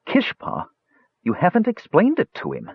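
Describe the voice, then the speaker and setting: A man's voice: sits high, huge pitch swings, moderate pace, husky, no echo, dull audio, voice pushed up, exaggerated voice. A man playing a protective juggler in a fantasy audiobook, a blunt but caring companion. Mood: gently urging.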